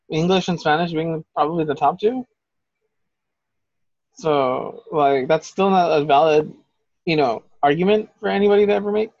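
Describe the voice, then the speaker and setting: A man's voice: on the high side, no echo, lo-fi sound. A man co-hosting a podcast in casual chat, talking in a heated, profane, rambling way. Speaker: podcast co-host.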